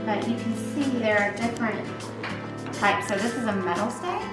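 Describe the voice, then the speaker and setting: Woman therapist explaining over loud music. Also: on the high side, normal speed. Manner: explanatory, informal. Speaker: occupational therapist. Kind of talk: casual explanation to a parent. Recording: roomy sound under loud music.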